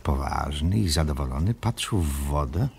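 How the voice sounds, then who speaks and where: A man narrating a children's radio play in a measured, solemn storybook style with a husky voice. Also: very deep voice, expressive, a little mellow, a little hiss or hum.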